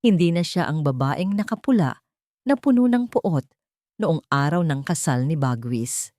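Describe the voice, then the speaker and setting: Woman, story narrator, calm and steady, earnest, fairly low voice, dry close sound. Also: unhurried, no echo. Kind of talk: scripted first-person storytelling.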